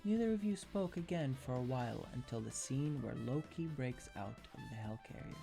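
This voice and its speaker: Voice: mid-range voice, lively intonation, fairly quick, vocal fry, music in the background. A narrator reading second-person fan fiction in an ASMR storytelling style.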